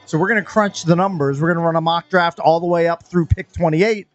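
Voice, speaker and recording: A man hosting a sports radio show, running the segment with energetic, joking banter. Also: a little high, a little fast, muffled recording, soft background music. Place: radio studio.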